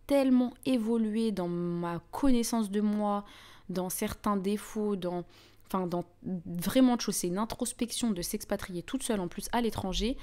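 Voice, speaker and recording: A young woman with a Parisian French accent hosts a casual live chat. She talks in an informal, slangy, chatty way at a middling pitch, addressing her viewers directly. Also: mellow. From France (Paris). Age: young adult. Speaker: livestream host.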